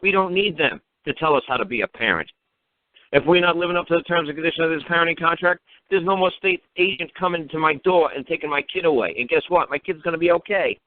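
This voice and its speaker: A man talks at a rapid clip through a thin, phone-like connection with little room sound. He is blunt, emphatic and profane, ranting advice and throwing out mocking questions. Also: on the high side, no echo. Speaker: talk show speaker advising a caller. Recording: narrow-band internet radio call.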